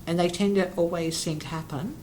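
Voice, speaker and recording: A man talking as a guest in a casual interview, hesitant and halting with frequent restarts. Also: fairly high pitch, fairly even intonation, a little mellow. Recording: noisy background.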